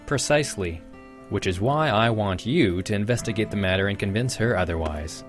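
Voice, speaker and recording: A suave, calculating young student council president played by a man speaks with formal, measured politeness and a smug edge. His low voice sits over clearly audible music in this anime dub. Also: expressive, close to an everyday voice. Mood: formal, persuasive. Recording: music behind the voice.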